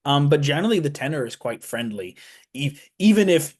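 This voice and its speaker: A man's voice: fairly high pitch, animated, fairly quick, a little mellow, breathy edge. A young man, a student guest on a radio interview, talking casually with lots of fillers and restarts. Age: young adult. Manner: rambling, many fillers and restarts. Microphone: studio mic.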